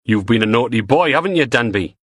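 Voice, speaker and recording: A man's voice: medium pitch, animated, a little fast, slightly rough, dry recording, clean studio sound, voice pushed up. A man voicing a thuggish male henchman with a Geordie accent, cheery and crude. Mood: jeering.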